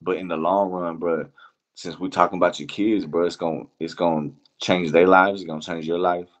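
Very deep-voiced man, casual and halting. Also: fairly even intonation, lo-fi sound. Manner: halting, slangy, repeats phrases as he talks. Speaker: music producer being interviewed. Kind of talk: casual reflective interview chat.

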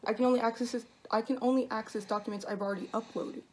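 A teenage girl in her room arguing with her mother, answering in a sullen, defensive way with quick comebacks. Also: fairly high pitch, steady tone, slight background noise. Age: teens. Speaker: teenage daughter. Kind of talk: casual argument. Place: bedroom in a house.

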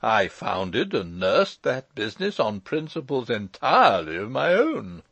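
Pompous old gentleman, played by a male reader: fussy, pedantic, aggrieved, lively pitch swings, dry close sound. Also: unhurried, no echo, exaggerated voice. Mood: indignant. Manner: pedantic, formal, long-winded, hesitating with ums.

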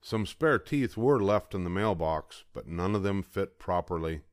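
An older man reading a picture book aloud in steady, even narration close to the mic. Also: low voice, unhurried, no echo. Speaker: storybook reader.

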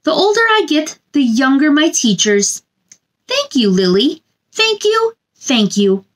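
A woman's voice: sits high, very animated, unhurried. A woman in her thirties hosting a story time for children, speaking expressively and simply to young listeners. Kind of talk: picture book read-aloud and chat to young viewers. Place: small indoor room.

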